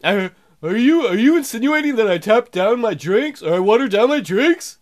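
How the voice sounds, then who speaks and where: A man's voice: high-pitched, expressive, moderate pace, voice pushed up. A man voicing a fussy, aggrieved Old West bartender with an indignant, complaining manner in a game.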